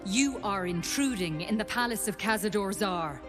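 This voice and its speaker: A woman's voice: mid-range voice, animated, normal speed, music in the background, lightly acted. An actress playing a vacant, entranced female palace guard in a fantasy game, blank and formal in manner. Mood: vacant, obedient. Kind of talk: cinematic fantasy game acting.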